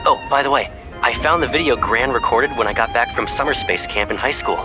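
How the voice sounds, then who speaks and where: A man's voice: medium pitch, brisk, natural voice, poor audio. An actor voicing a cocky, playful young male pilot who teases his childhood friend, in video game style. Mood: teasing. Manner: playful, teasing, boastful. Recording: background music under the voice. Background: music.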